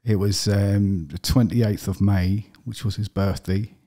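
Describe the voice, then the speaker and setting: A middle-aged man from London with a London accent, a podcast guest telling his story plainly, with steady fillers. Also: fairly low pitch, a little slow. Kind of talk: personal interview. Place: podcast studio with brick wall. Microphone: studio desk mic with headphones.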